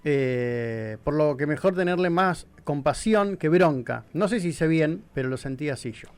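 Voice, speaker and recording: A woman's voice: sits low, animated, unhurried, a little mellow. A woman, a radio co-host, reading a listener's message aloud.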